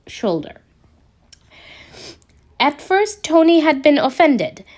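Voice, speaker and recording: A woman reading a scripted story aloud as a narrator, in an expressive storytelling way. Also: high voice. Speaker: podfic narrator reading fan fiction.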